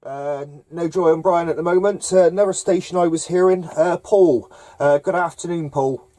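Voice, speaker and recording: A man with a hesitant, halting delivery, making casual CB radio contacts with call signs and jargon. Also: on the high side, mellow, a little hiss or hum. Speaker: amateur CB radio operator running contacts. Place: camper van up in the hills.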